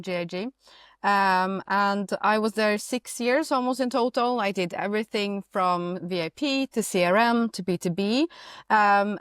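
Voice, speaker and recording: Woman in her thirties, grew up in Sweden, chatty interview guest, dry close sound, mid-pitched, lots of fillers. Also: smooth, dry recording. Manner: chatty, many fillers, laughs easily. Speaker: marketing director, guest. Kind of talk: casual interview chat.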